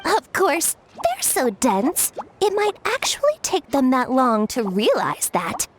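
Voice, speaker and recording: Brief: a scornful game fungus, very high, wildly lively, slow drawl. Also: female voice, a little hiss or hum, heavily acted. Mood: smug. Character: smug scheming fungus, sure it is clever. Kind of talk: video game voice acting.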